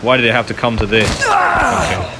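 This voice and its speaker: A man's voice: medium pitch, huge pitch swings, normal speed, heavy background noise. A man giving casual, self-deprecating and apologetic commentary while playing a video game. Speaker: gamer narrating a playthrough. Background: loud video game music.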